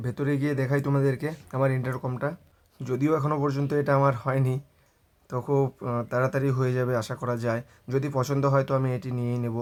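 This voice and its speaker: A man's voice: mid-range voice, darkish tone. A man narrating a vlog voice-over, mixing in English words. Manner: mixes English words into speech. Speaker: motorbike vlogger.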